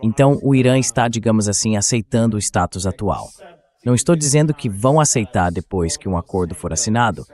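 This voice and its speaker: A man's voice: lowish voice, expressive, brisk, a little mellow. A man with a Brazilian Portuguese accent, a synthetic voice-over for a conversational news discussion.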